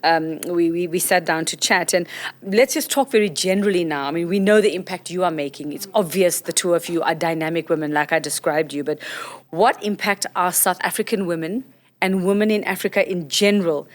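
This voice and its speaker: A woman's voice: lowish voice, brisk. A woman hosting an interview, often affirming her guests and jumping in with comments. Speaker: interview host.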